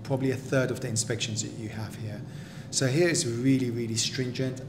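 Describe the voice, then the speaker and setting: A man, a property developer, explaining construction inspections in a practical, measured way as his wife interviews him. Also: music in the background. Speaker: property developer and general contractor. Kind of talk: explaining in a relaxed home interview. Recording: echoey room with steady rumble.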